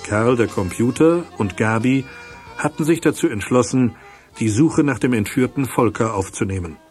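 A man's voice: medium pitch, lively intonation, moderate pace, a little mellow, lightly acted. A man acting as storyteller, narrating a scripted children's detective radio play. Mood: storytelling. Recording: faint music behind the narration.

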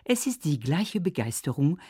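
A woman narrating a scripted radio feature in an expressive, storytelling manner. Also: sits low, normal speed, slightly rough, no room sound, studio quality.

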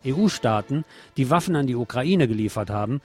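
A man's voice: medium pitch, lively intonation, a little fast, a little mellow, slightly rough. A man presenting on radio, reading scripted news and political commentary.

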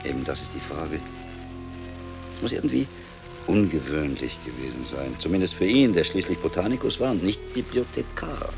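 An actor playing a sharp-minded, impatient medieval scholar monk in a German radio drama, reasoning aloud with deliberate emphasis. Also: male voice, deep voice, sing-song, creaky, music in the background, heavily acted. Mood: pondering, brisk. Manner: deliberate reasoning aloud, given to exasperated outbursts.

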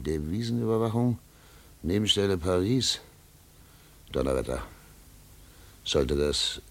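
An actor playing an exuberant, hearty émigré lawyer in a radio drama, with a gravelly voice. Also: male voice, low-pitched, full character voice. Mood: bored, then intrigued. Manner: effusive, exclaiming, hearty. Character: exuberant male émigré lawyer, jovial old acquaintance, restless. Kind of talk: German radio drama acting.

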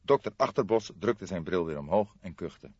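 Low-voiced man narrating an audiobook. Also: animated, normal speed, darkish tone, breathy edge. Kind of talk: scripted audiobook narration of a detective novel. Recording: dull, roomy sound.

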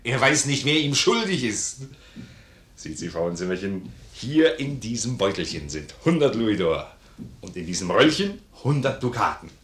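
A deep-voiced, bluff old-time sergeant. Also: male voice, very animated, moderate pace, fairly bright tone. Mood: hearty, boastful. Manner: plain-spoken, hearty, bluff. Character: blunt, loyal, jovial army sergeant, male. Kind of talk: 1950s German radio play acting of classical comedy. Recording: roomy vintage 1950s radio recording.

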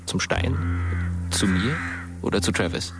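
An actor playing a dead teenage boy who narrates a German radio drama as a wry, wistful ghost in a hushed, measured way. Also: on the low side. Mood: wistful, observant. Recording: music under the voice.

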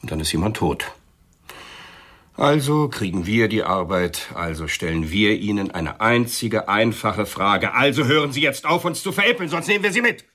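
An actor playing a hard, bullying police inspector in a radio crime drama, husky and sarcastic. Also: male voice, on the low side, expressive, moderate pace, pushed and bright. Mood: angry threat. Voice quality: husky, rough.

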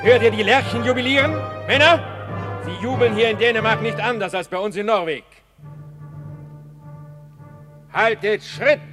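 Proud young military prince male actor, higher-pitched, declamatory and commanding over prominent brass music, classical radio drama. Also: fairly quick, natural voice. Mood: rousing. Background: loud brass music.

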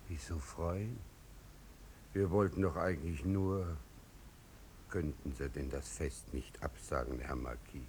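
A man's voice: a little slow, long pauses, a little mellow. An actor playing a simple, hesitant villager who tags along with his friend, in a 1950s German radio drama. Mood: puzzled, uneasy. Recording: old radio recording, rumbling background noise.

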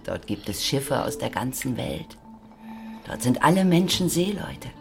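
Careworn mother, low voice, anxious, tender, emotional, radio drama, music behind. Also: big pitch swings, a little slow, gravelly, exaggerated voice. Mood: wistful, dreamy. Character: careworn, loving working-class mother of a teenage boy. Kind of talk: German radio play acting.

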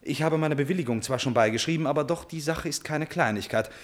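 An actor playing an impulsive, lovesick prince in a classical German radio drama, imperious and theatrically emphatic. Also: male voice, fairly high pitch, quick pace, natural voice. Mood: restless, commanding. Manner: theatrical, emphatic, swinging from outburst to brooding.

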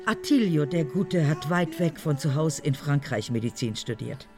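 A woman's voice: deep voice, animated, normal speed, music in the background. An actress playing an older mother grieving a murdered son, solemn, accusing and emphatic, in a radio drama. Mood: grieving, defiant.

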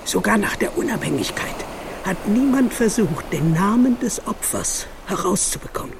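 An actress playing a stern, grieving mother, speaking deliberately and emphatically in a radio drama. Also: mid-range voice, lively intonation, noisy background, lightly acted. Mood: accusing. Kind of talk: German radio drama monologue.

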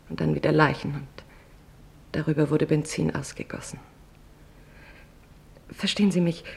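An actress playing a haunted camp survivor, giving grave, measured testimony in a husky, creaky voice. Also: female voice, on the low side, darkish tone, heavily acted. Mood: hushed horror, then bitter, controlled. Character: haunted woman survivor of a concentration camp recounting horrors. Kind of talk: scripted dramatic testimony.

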